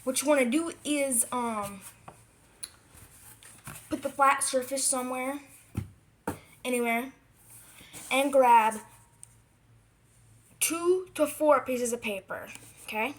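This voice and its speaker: High-pitched DIY vlogger over background noise. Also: slow pace, pauses often. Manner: rambling, self-correcting, emphatic repetition. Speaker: video creator giving craft tutorial. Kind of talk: casual DIY how-to vlog talk.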